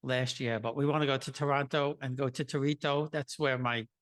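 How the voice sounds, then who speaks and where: Halting New man. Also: medium pitch, fairly even intonation, a bit bright. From Queens, New York. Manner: halting, with many restarts. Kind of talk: conversational interview.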